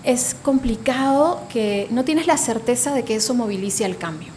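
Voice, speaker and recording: Panel presenter: woman, fairly high voice, measured and list-like delivery, faint room noise. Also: animated, a little mellow. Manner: measured, lists ideas one after another. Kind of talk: conference presentation.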